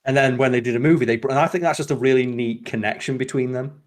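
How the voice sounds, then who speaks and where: A man's voice: mid-range voice, fairly even intonation, quick pace. A man hosting a casual podcast chat, opinionated and sweary. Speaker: podcast host.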